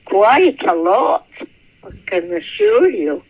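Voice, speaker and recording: An elderly woman, reminiscing in a halting, musing, creaky voice. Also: on the high side, sing-song. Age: 80s or older. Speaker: interviewee recalling childhood memories. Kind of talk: reminiscing interview. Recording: muffled, lo-fi radio-like sound.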